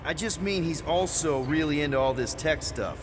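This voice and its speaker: An actor playing an easygoing, wisecracking young male space adventurer in a video game, with an American accent and a casual, halting delivery. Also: fairly high pitch, in a large hall, background noise, natural voice. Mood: joking, casual. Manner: casual, hesitant, joking. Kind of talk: naturalistic video game voice acting.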